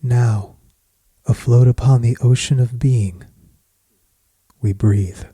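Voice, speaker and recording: A man leading a guided meditation in scripted poetic narration, speaking in a measured, soothing, solemn manner with long pauses. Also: medium pitch, steady tone, mellow, slightly rough, no room sound.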